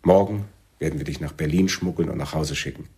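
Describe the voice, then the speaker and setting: Deep, husky, wary militant leader. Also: male voice, a little mellow, full character voice. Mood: tender, solemn. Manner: measured, probing questioning. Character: wary, soft-spoken male Palestinian militant leader, suspicious lover. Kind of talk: German radio play acting.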